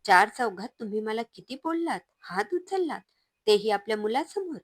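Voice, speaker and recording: A woman's voice: fairly high pitch, big pitch swings, moderate pace, no room sound, clean studio sound, voice pushed up. A woman voicing a hurt but resolute young wife who stands up to her husband in a firm, raised voice.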